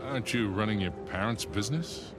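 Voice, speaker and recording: An older actor voicing a gruff, worldly male smuggler in a video game, laid-back and chuckling. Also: fairly low pitch, a little fast, noisy background, natural voice. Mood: wry. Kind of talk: video game cutscene acting.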